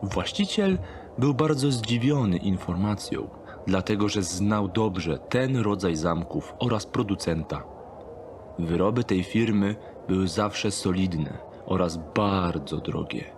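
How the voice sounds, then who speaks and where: A man reading scripted third-person narration for a dark thriller audiobook. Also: low-pitched, lively intonation, slow pace, background noise.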